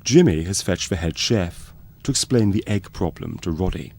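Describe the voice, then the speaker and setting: A male narrator with a low, mellow voice. He reads scripted docu-soap links with lively rises and falls. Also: normal speed, gravelly, breathy edge.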